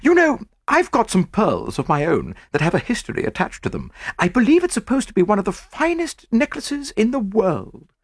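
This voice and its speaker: A man voicing a gushing, chatty wealthy middle-aged woman in a high-pitched manner, in an audiobook. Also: huge pitch swings, normal speed, no room sound, clean studio sound, heavily acted. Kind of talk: audiobook character voice.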